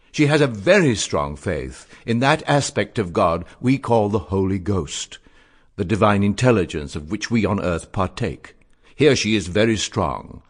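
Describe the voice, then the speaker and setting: An expressive, dandified young Oscar Wilde. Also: male voice, fairly low pitch, heavily acted. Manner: florid, expressive, measured and articulate. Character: Oscar Wilde, witty, dandified, eloquent young Irish letter writer. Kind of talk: theatrical reading of letters.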